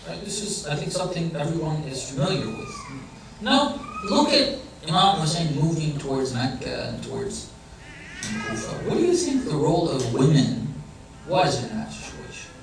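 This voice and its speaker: A man's voice: on the high side, huge pitch swings, normal speed, background noise. A man giving a religious lecture in a conversational way, often putting questions to the audience. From Iranian family background. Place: large hall or center. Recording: very echoey hall, heard from a distance.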